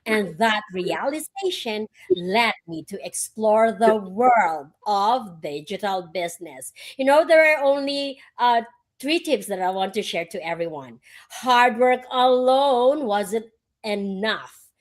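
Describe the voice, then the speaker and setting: Slow, measured woman. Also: medium pitch, lively intonation. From Philippines. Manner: measured, deliberate, inspirational phrasing. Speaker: panel guest, early childhood educator. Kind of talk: prepared motivational answer.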